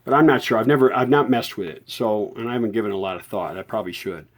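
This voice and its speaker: A man hosting an informal repair tutorial, explaining technical details off the cuff in a halting way and often correcting himself. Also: lowish voice. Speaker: host of a vintage radio repair tutorial. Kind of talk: unscripted hands-on technical explanation.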